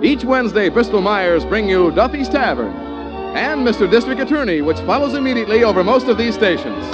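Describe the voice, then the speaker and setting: A man announcing in 1940s radio style with a polished, emphatic salesman delivery. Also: high-pitched. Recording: dull lo-fi old radio broadcast. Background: music.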